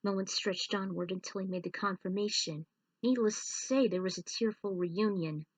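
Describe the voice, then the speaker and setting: A woman's voice: mid-range voice, normal speed, no echo, recorded from a distance. A woman reading a scripted story as its narrator, stumbling over the odd word. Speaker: narrator reading own fan fiction.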